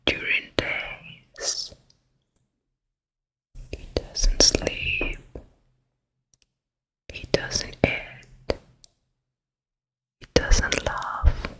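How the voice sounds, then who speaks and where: A woman acts out a vain, wicked fairytale queen for an ASMR roleplay. She whispers throughout, breathy and crisp, with a bright edge. The words come extremely slowly and deliberately, full of scheming self-regard. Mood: gloating, secretive. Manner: slow, deliberate, repeating words. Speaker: ASMR performer.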